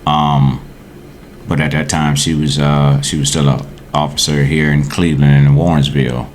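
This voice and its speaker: A man's voice: rumbling low, level tone, normal speed, a little hiss or hum. An American man in his forties chatting casually as a podcast guest, blunt, slangy and profane. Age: 40s.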